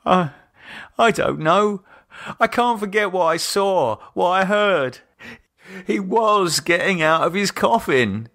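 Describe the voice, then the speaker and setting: A man voicing a shaken, exhausted man in an audiobook horror drama, halting and hesitant, with sarcasm and fear. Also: high-pitched, expressive, fairly bright tone, dry recording, studio quality, pitched above the performer's own voice, full character voice. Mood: shaken, fearful.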